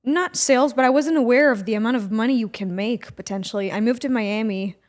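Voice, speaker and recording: A woman in her twenties from Israel, co-hosting a casual podcast and chatting in a relaxed way with many fillers. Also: a little high, fairly quick. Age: 20s. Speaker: podcast co-host.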